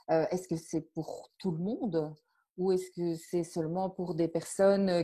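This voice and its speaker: A woman, a nutrition coach interviewing a client with gentle, searching questions. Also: on the low side.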